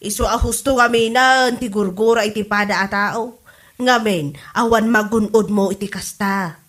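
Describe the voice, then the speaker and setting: A woman's voice: mid-range voice, moderate pace, a bit bright, natural voice. An actress with an Ilocano accent playing a motherly village storekeeper in a comedy drama podcast. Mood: scolding. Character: motherly village storekeeper, scolding yet obliging. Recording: music under the voice. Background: music.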